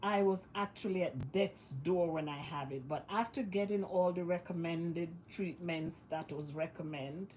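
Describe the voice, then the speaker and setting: A woman's voice: on the low side, moderate pace, hardly any pauses, a little mellow, slight background noise. A West Indian woman talking casually to her vlog viewers, sounding congested and nasal from illness and repeating phrases for emphasis. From West Indies. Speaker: vlogger. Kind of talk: casual monologue to camera.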